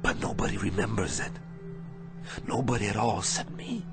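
An actor playing a weary, embittered middle-aged boxer in a radio drama, speaking in emotional, halting working-class slang. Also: male voice, on the low side, lively intonation, creaky. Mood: shaken, insistent. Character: battered, weary, embittered aging boxer, desperate and intense. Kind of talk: dramatic radio play acting. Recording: radio drama with music underneath.

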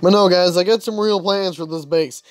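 A man's voice: sits high, moderate pace. A young man casually commenting on his own gameplay, chatty and grumbling, talking to himself as he plays. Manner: grumbling, chatty, talks to himself while playing. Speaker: gaming channel host. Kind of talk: casual let's-play commentary.

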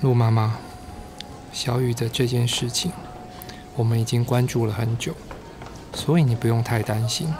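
A man's voice: mid-range voice, steady tone, normal speed, long pauses, noisy background, lightly acted. A man acting a soothing, protective male schoolteacher in a radio drama. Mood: earnest, caring. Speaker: voice actor in a fan radio drama. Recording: music behind the voice.

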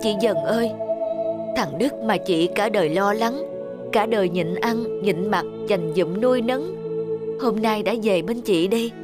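An actress playing a compassionate middle-aged village woman in a cartoon, speaking in a sighing, plaintive, gently reproachful way. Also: mid-range voice, a little slow, over loud music, natural voice. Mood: reproachful sorrow. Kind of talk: cartoon dubbing.

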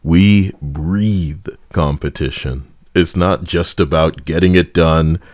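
A man's voice: extremely low pitch, fairly even intonation, slow pace, darkish tone, no room sound, studio quality. A man giving casual, blunt and sarcastic opinion commentary as a gaming video host, with an edgy streak. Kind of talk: casual opinion commentary over game footage.

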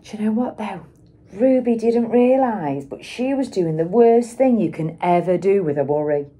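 A middle-aged woman reads a children's picture book aloud like a teacher, with dramatic emphasis and pauses for young listeners. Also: medium pitch, animated, dark tone, breathy edge. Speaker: schoolteacher reading aloud.

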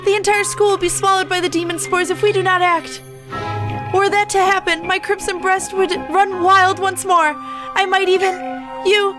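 Woman putting on a high, melodramatic fallen-angel pigeon. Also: flat delivery, moderate pace, music in the background. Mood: ominous, dramatic. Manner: theatrical, grandiose archaic declaiming. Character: melodramatic young male pigeon, self-styled fallen angel. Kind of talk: visual novel voice-over, anime parody.

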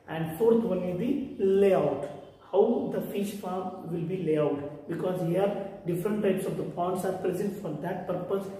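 A man's voice: high-pitched, dark tone. A man in his forties with an Indian accent, lecturing a class and repeating his points, filmed from a distance in front of a whiteboard. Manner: repetitive explaining, gesturing with hands. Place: room with whiteboard. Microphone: far camera. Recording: echoey room from far off.

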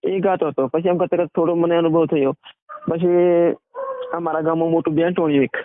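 A man's voice: a little high, steady tone, brisk, dry recording. A man, a singer and band owner talking casually in a phone interview. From Gujarat, India. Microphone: phone call. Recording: tinny narrowband phone line.

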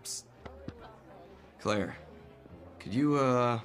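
A man's voice: medium pitch, animated, extremely slow, a bit bright, faint music behind. A middle-aged man playing a gossipy, casual colleague in a naturalistic video game scene, talking confidingly. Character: chummy, gossipy, smooth-talking male senior colleague.

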